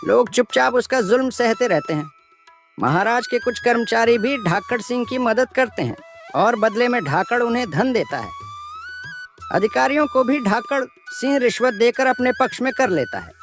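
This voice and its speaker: A man's voice: extremely high pitch, lively intonation, normal speed, fairly bright tone, faint music behind, exaggerated voice. A man playing an anxious village headman in a cartoon story, explaining fearfully. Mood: anxious, grave. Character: anxious village headman reporting a bandit's cruelty. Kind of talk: animated moral story dialogue.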